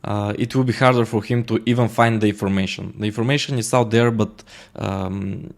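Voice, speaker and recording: A Bulgarian man talks through a casual interview in English, his second language. His voice sits on the low side, and he keeps restarting with "uh" and "um" as he goes. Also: steady tone, fairly quick, creaky. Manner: rambling, many fillers and restarts. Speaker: guest, smart contract auditor.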